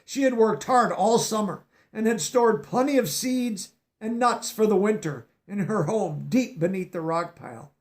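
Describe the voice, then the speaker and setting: A man's voice: high voice, moderate pace, hardly any pauses. A man reading a children's picture book aloud, stopping to explain words in a teacherly way. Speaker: storybook reader.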